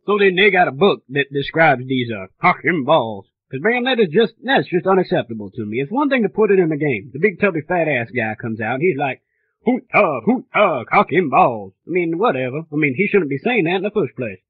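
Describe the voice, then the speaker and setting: A man's voice: a little high, very animated, fairly quick, husky, dry recording. A man playing an indignant, clueless father on a prank phone call, rambling and stammering as he complains. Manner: rambling, stammering, repetitive, easily offended. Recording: tinny phone line.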